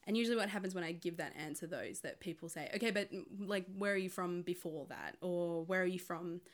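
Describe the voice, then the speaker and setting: A woman's voice: fairly low pitch, quick pace. Chatty Australian woman podcast host. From Sydney, Australia. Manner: chatty and animated, laughs often while telling stories. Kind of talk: casual podcast chat.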